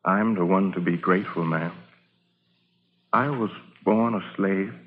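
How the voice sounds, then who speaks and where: A man's voice: low voice, unhurried, slightly rough, narrow, tinny audio. An actor playing a humble, grateful formerly enslaved man, plain-spoken and earnest, in a radio drama. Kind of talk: old-time radio drama acting. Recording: thin noisy vintage radio recording.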